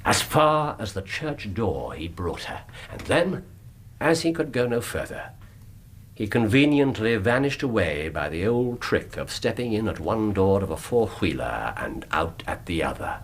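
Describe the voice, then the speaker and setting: An actor playing a shrewd, scornful Victorian detective in a British radio drama, English accent, speaking with precise, theatrical delivery. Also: male voice, lowish voice, sing-song, a little slow, a little hiss or hum. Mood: expounding, dry.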